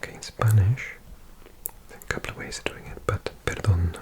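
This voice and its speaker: A person giving an ASMR language lesson in a breathy whisper, softly repeating words in an unhurried way. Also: deep voice, fairly even intonation. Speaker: language teacher.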